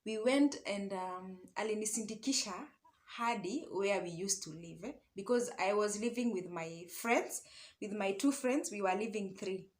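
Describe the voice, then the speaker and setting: A woman's voice: mid-range voice, normal speed. A young woman with a Kenyan accent telling a personal story casually and conversationally, mixing English and Swahili and often checking in with little tag questions. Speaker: online storyteller and love coach.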